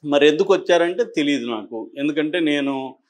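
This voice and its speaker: A man with a Telugu accent giving conversational political commentary as an analyst, explaining in a halting way and mixing in English words. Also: fairly high pitch, a little mellow. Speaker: news analyst.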